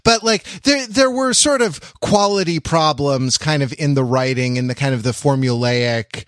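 Man rambling casually, slightly high-pitched, dry sound. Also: moderate pace, no echo. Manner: rambling, with many false starts and hedges; animated comic exaggeration. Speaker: podcast co-host. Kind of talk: casual panel chat about television.

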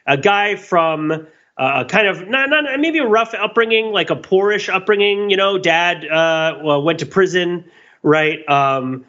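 A man with a slightly high voice co-hosts a casual analytical podcast. He rambles and interrupts himself, dropping wry asides and checking in as he goes. The recording is a little dull. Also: a bit bright. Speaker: podcast co-host.

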